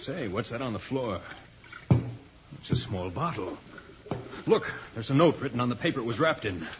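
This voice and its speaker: A man's voice: mid-range voice, big pitch swings, normal speed, close to an everyday voice. An actor playing a grave, urgent, heroic alien spaceman in an old-time radio serial. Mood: grave concern. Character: earnest, heroic alien spaceman, puzzled by Earth slang. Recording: noisy, muffled old radio recording.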